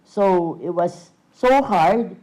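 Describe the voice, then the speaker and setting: Woman, lowish mellow voice, halting speech, searching for words. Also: animated, rough recording. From Philippines. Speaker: missionary dentist. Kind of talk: casual presentation.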